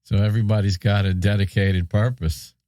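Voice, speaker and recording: A man's voice: lowish voice, fairly even intonation, a little fast, warm tone, no room sound, clean studio sound. A man co-hosting a podcast, bantering casually and adding short replies. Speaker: podcast co-host.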